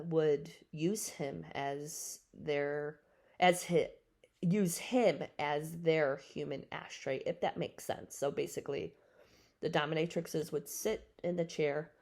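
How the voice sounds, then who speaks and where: A woman's voice: sits low, fairly even intonation, slow, creaky, darkish tone. A woman in her forties hosting a solo podcast, answering questions off the cuff in a frank, rambling, matter-of-fact way. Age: 40s. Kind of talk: casual unscripted solo podcast talk.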